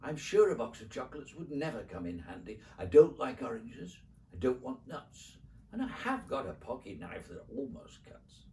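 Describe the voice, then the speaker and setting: A man's voice: mid-range voice, wildly swooping pitch, moderate pace, mellow, slight background noise. A man plays a lonely storybook king, childish and self-pitying. His pleas swing widely up and down in emphatic sing-song verse. The sound is a little distant from the mic. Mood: anxious, hopeful.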